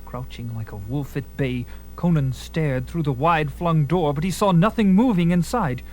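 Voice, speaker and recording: A man's voice: on the high side, moderate pace, darkish tone, poor audio. A man narrating a fantasy adventure in a theatrical, suspenseful and emphatic style, with music behind him.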